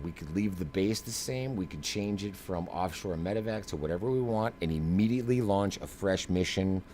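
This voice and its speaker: Man, low pitch, streamer commentary, casual slangy delivery, emphatic phrasing, noticeable background noise. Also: darkish tone. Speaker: flight simulator streamer. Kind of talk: live game demo commentary.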